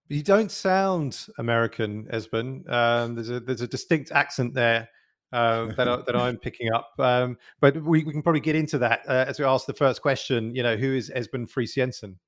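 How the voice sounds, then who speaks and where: A man's voice: medium pitch, normal speed. A man hosting a podcast interview, chatty and rambling with many fillers. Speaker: podcast host. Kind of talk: casual interview chat.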